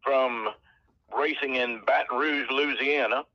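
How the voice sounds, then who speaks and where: A man's voice: mid-range voice, steady tone, a little slow. An American man talking as a guest over the phone from his office, a folksy, joking storyteller. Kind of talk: casual phone interview. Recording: tinny phone line.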